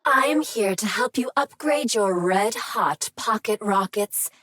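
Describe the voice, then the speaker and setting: A woman's voice: medium pitch, animated, unhurried, a bit bright, no room sound. An actress voicing a flirtatious female machine in a video game, purring and teasing. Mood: sultry. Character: flirty female machine, sultry vending unit. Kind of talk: video game character dialogue.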